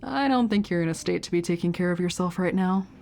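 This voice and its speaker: Woman acting a teasing, caring older sister, low-toned. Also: quick pace, creaky. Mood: flirty, caring.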